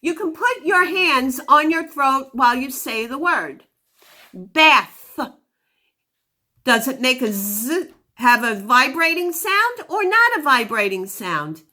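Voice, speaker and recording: A woman teaching a phonics lesson to young children, giving deliberate instructions and sounding out words. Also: sits high, very animated, bright, forceful tone.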